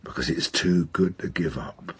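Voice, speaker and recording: An actor playing a blunt, gruff British police detective mentor in a radio drama, sardonic and emphatic, with a husky voice and slangy speech. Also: male voice, low voice, normal speed, fairly bright tone, full character voice. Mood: coaxing. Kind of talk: naturalistic radio drama acting.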